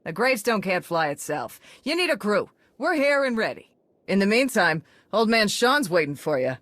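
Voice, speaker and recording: A woman's voice: mid-range voice, moderate pace, no room sound. An actress playing a brash, sarcastic young female mercenary pilot in a video game. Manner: brash, sarcastic, lively delivery.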